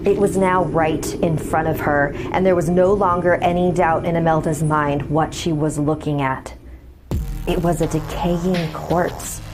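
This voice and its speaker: A woman's voice: lowish voice, poor audio. A woman reading a scripted horror story as its narrator, with music behind her.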